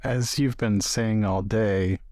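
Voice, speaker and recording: Man playing a deep-voiced, laconic companion. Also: steady tone, slow pace, a little mellow, no room sound, clean studio sound. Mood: dry, reluctant. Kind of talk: improvised in-character tabletop roleplay.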